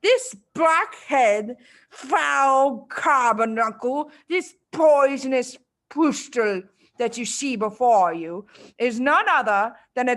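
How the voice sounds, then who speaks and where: Woman putting on booming, bullying tyrant headmistress. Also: fairly bright tone, full character voice. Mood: booming disgust. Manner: barking, booming, drawn-out insults. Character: monstrous bellowing tyrant headmistress, furious and pompous.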